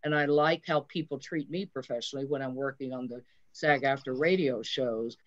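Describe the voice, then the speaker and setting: A woman's voice: rumbling low, moderate pace. A woman talking casually as an interview guest over a video call. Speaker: radio actor, show guest. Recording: dull, lo-fi call audio.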